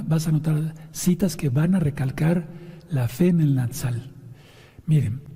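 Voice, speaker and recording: A man preaching and teaching from scripture in a hoarse voice, addressing his listeners directly with questions and instructions. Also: on the high side, warm tone. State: hoarse voice from sinusitis after an operation. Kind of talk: sermon with scripture reading and commentary. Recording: long reverberant hall sound.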